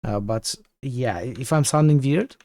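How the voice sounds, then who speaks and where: A man who is losing his voice from illness chats with his viewers while streaming a game, rambling and halting as he thinks aloud from his home setup. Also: medium pitch, a little mellow, a little hiss or hum. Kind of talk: casual livestream chat.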